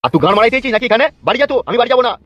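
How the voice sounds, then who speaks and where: A man's voice: extremely high pitch, animated, racing, fairly bright tone, no room sound. A man playing a coarse, wheedling two-timing husband in a comic cartoon. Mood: scolding, defiant. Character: bickering, sweet-talking two-timing husband, foul-mouthed. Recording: muffled narrow-band audio over music.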